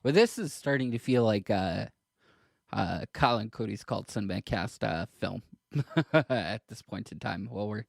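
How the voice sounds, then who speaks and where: A man co-hosting a podcast in casual discussion, speaking hesitantly in halting, trailing clauses. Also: fairly low pitch, lively intonation, creaky, no echo, clean studio sound. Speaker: podcast co-host.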